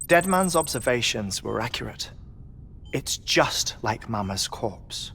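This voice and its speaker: A man playing an erudite scientist in a video game cutscene, explaining theories in a precise, formal, measured way. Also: medium pitch, sing-song, gravelly, noisy background, heavily acted. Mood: measured, explanatory. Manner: lecturing, precise, formal diction. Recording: music behind the voice.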